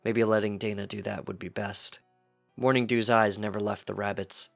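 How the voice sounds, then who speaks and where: A man's voice: on the low side, flat delivery, moderate pace, creaky, fairly bright tone, faint music behind. A man reading fan fiction aloud as scripted story narration. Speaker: fanfiction reader.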